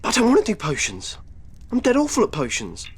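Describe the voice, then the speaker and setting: A man's voice: sits high, wildly swooping pitch, a little fast, a little hiss or hum, exaggerated voice. A man with a British accent playing a cheeky, grumbling teenage schoolboy in a game cutscene, flippant and colloquial. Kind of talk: video game cutscene acting.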